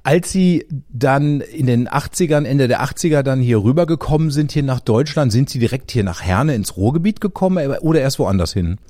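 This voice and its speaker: A man conducting an interview, calmly asking clarifying follow-up questions. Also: medium pitch, fairly quick, few pauses, no room sound, studio quality.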